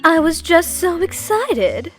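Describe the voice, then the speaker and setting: A woman's voice: very high voice, big pitch swings, takes it slowly, soft background music, pitched above the performer's own voice, exaggerated voice. A woman voicing a doting, teasing, affectionate young woman in an amateur visual novel. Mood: gushing gratitude. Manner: affectionate, cooing.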